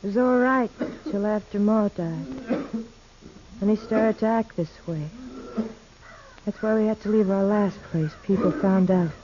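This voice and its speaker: A woman's voice: medium pitch, unhurried, long pauses, background noise, lightly acted. An actress with an American accent playing a careworn, resigned young frontier woman in a 1950s radio western, plain and subdued. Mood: resigned grief. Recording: dull 1950s radio recording.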